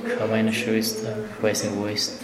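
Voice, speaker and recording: A young man from Afghanistan with an Afghan accent, answering interview questions in halting, broken German. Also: fairly low pitch, completely flat delivery. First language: language of Afghanistan. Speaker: interviewee, asylum seeker and runner. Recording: echoey room with clear background noise.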